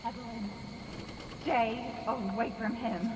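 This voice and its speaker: An actress voicing a desperate wife straining against a possession, urgent and strained. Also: female voice, fairly high pitch, fairly even intonation, slow, noisy background. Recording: echoey with loud music.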